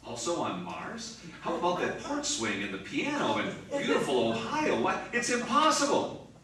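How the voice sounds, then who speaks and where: An actor playing a commanding, sceptical spaceship captain in a sci-fi radio drama, barking orders and stammering when baffled. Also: male voice, on the high side, wildly swooping pitch, normal speed, bright, forceful tone, heavily acted. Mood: bewildered. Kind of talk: old-time sci-fi radio drama acting. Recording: roomy, distant, clearly noisy recording.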